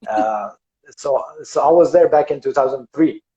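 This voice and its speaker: Dark-voiced man hosting a chat. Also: on the high side, fairly even intonation, highs cut off. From Afghanistan. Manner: halting, many fillers and restarts. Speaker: podcast host. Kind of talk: casual interview chat. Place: Western Australia.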